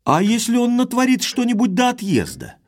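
Expressive, blunt baronet, swooping pitch. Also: male voice, brisk, exaggerated voice. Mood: doubting. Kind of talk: audio drama acting.

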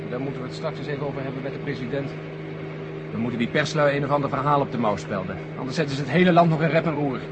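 A man's voice: mid-range voice, very fast, lightly acted. An actor playing a fretful, insistent male colleague in a 1970 science-fiction radio drama. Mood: agitated. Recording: loud engine noise behind the voice. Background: helicopter engine drone.

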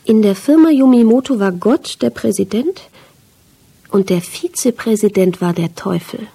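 A woman's voice: mid-range voice, normal speed, creaky, dark tone, slightly rough. A woman reading a literary first-person story as a scripted audiobook narration.

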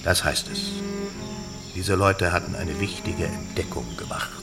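An actor playing a grave, knowing padre in a radio drama, speaking in a measured, deliberate way. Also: male voice, low voice, animated, over music. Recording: clear insect-filled ambient noise behind voice.

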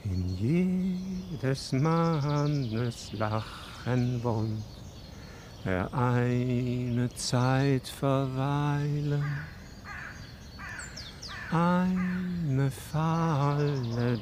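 A grieving man reciting an elegy super slowly, birds and outdoor noise behind. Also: medium pitch. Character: solemn mourner reciting an elegy. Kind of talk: slow, solemn lyrical recitation in a radio drama. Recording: noisy outdoor ambience with birdsong.

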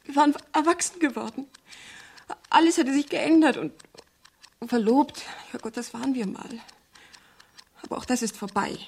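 A woman's voice: high voice, normal speed, creaky, pauses often, darkish tone. An actress playing a guarded, troubled young woman in a radio drama, speaking hesitantly and evasively. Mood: guarded, defensive. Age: young adult. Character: guarded, troubled young female client of a detective. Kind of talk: 1970s German radio drama dialogue.

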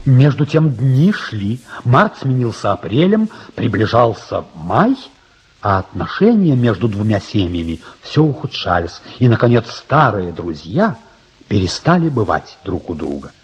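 An actor as the narrator of a radio drama, telling the story in a measured, dramatic style. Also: male voice, fairly low pitch, sing-song. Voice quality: husky. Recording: dull, muffled radio drama recording.

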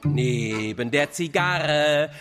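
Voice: mid-range voice, expressive, extremely slow. A performer voicing a whimsical, music-loving storyteller reciting rhymed verse in a children's radio play. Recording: loud music behind the voice.

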